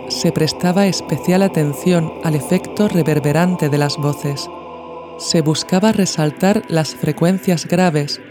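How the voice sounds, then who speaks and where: A man from reads a scripted literary audio essay in a measured, formal way. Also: fairly high pitch. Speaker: podcast narrator. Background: music.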